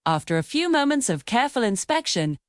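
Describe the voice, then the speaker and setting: A woman reading a scripted children's story as an expressive, dramatic storyteller. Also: a little high, fairly quick, no room sound, clean studio sound.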